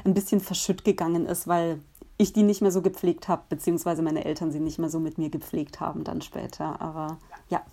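A woman musician answering interview questions in a relaxed, thoughtful way, pausing often with fillers and restarting her sentences. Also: low-pitched. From Germany. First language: Polish.

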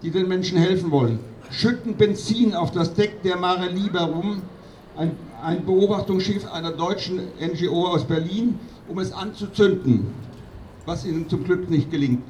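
A man delivering a political speech at a protest rally in an emphatic, accusatory, declamatory way with deliberate phrasing. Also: high-pitched, a little mellow. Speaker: activist doctor speaking at a protest rally. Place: outdoor rally. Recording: distant outdoor mic with clear noise.

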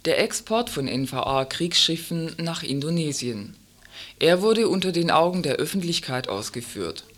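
A man's voice: a little high, big pitch swings, normal speed, a bit bright. A man reads a scripted magazine report as a radio presenter.

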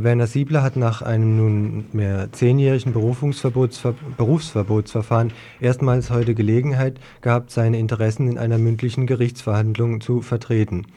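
Male radio news presenter, mellow and fairly monotone, informal community-station delivery. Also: medium pitch.

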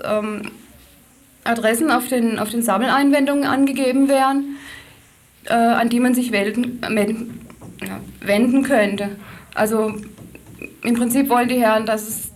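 A woman speaking as a campaign spokesperson in an interview, giving detailed, factual answers with hesitations and self-corrections. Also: fairly high pitch. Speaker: activist spokesperson being interviewed. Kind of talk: spontaneous explanatory interview answers.

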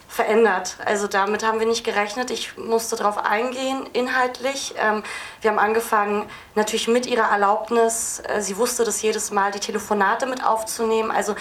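Woman answering questions, clearly noisy live recording. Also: medium pitch, a bit bright. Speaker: film director at an audience Q&A. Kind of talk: spontaneous interview answers. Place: film festival screening venue.

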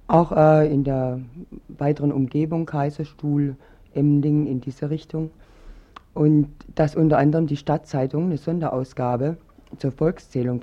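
A man explaining things informally on the radio, halting, with many hesitations and self-corrections. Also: mid-range voice, mellow. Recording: muffled dull 1980s radio recording.

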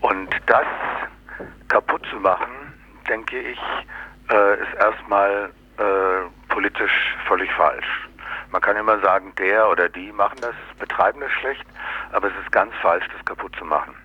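Very low, husky man in interview. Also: steady tone, unhurried, highs cut off. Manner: sardonic, colloquial, tag questions. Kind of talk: casual explanatory interview talk.

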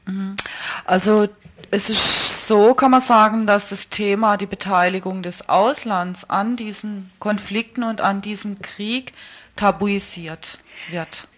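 A woman's voice: medium pitch, steady tone, slow. A woman interviewing conversationally in a radio studio as the presenter.

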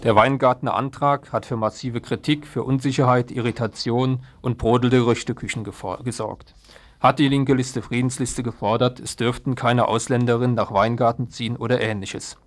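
A man's voice: mid-range voice, steady tone, normal speed. A man working as a radio news presenter, reading a scripted report.

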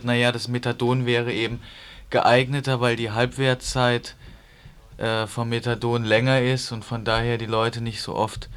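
A man taking part in a panel discussion, setting out arguments in a measured way. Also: medium pitch, a bit bright, smooth. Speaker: discussion participant.

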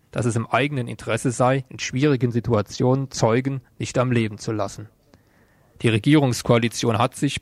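A man reads a scripted radio news bulletin. His voice sits at an average pitch, and the studio sound is dry, with very little room in it. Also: dry recording. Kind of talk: scripted news reading with some ad-libbing.

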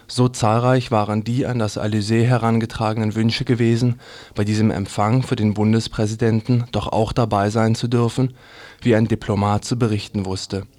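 Low-voiced man reading scripted radio commentary. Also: a little mellow. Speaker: radio presenter.

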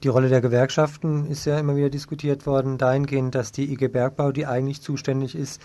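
A man's voice: mid-range voice, little pitch movement, fast talker, mellow. A man working as a radio host, asking questions in an informal local interview.

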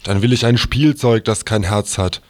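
A man's voice: on the low side, moderate pace, dry recording. A man reading aloud a written newspaper review as a quoted text. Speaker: reader of quoted articles.

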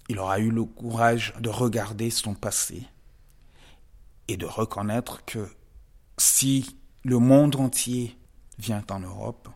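A man from the DR Congo, a guest artist giving measured, reflective interview answers with frequent pauses and emphatic rises. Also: lowish voice, a little mellow. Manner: measured and thoughtful, pausing often, rising to emphatic stresses.

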